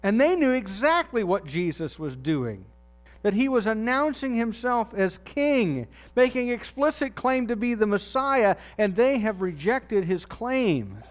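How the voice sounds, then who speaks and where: A man with a notably high voice delivers a sermon in an easy, conversational manner. He often sinks low and quiet to land a key phrase. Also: sing-song, unhurried. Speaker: pastor.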